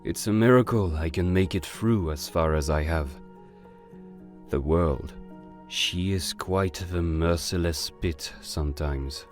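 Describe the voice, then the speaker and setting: A man playing a silver-tongued, gentlemanly con artist of living fire who sprinkles in French endearments, in a romantic audio drama. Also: sits low, slow pace, soft background music. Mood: wistful, sincere.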